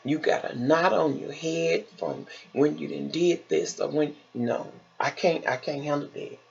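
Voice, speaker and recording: Woman, American accent, gravelly and husky, unhurried, casual folksy storytelling, emphatic repeated phrases, slightly dull lo-fi recording. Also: deep voice, darkish tone. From Chicago, United States. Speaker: vlogger telling personal stories.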